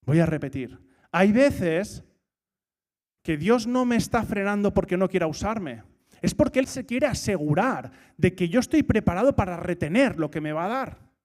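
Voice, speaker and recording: High-voiced man preaching. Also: normal speed. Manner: lively, rhetorical questions, repeats key points. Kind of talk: sermon. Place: church.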